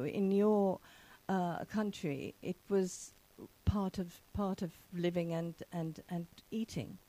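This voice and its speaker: A woman's voice: on the low side, warm tone. A woman from England hosting an interview podcast, asking long rambling questions with many fillers. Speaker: podcast host. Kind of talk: casual interview.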